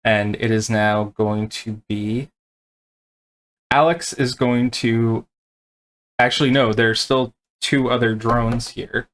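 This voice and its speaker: Slow-paced man running a tabletop game. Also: medium pitch, steady tone, fairly bright tone, low-quality recording. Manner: halting, explanatory. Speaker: game master. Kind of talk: unscripted tabletop roleplay narration and rules explanation.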